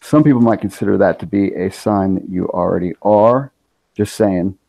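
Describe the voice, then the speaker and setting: A man's voice: sits low, normal speed, creaky, mellow, dry recording. A man in his forties hosting a casual group talk, earnest and a bit lecturing with his friends. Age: 40s. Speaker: channel host. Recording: dull dry lo-fi sound.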